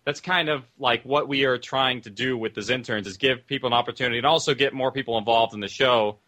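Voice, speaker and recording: A man's voice: mid-range voice, fast talker, pushed and bright. A man speaking casually as a guest producer in a discussion, loose and informal, padding his points with little qualifiers. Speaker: audio drama producer, panel guest.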